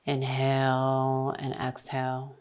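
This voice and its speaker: A woman yoga instructor guiding breathing and stretches with calm, encouraging, short cues. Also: a bass voice, slow pace, smooth. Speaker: yoga instructor leading a class.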